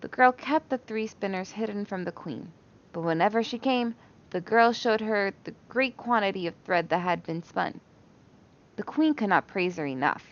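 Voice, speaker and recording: Female storyteller, expressive bedtime reading for kids, mid-range voice, muffled recording. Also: medium pitch, normal speed. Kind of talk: expressive bedtime story read aloud for children.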